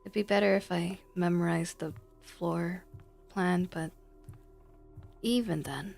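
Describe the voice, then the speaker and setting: A woman's voice: lowish voice, fairly even intonation, slow pace, gravelly, a little mellow, faint music behind. A woman giving casual, informal livestream commentary on horror games, thinking aloud in a hesitant way. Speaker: video game livestreamer.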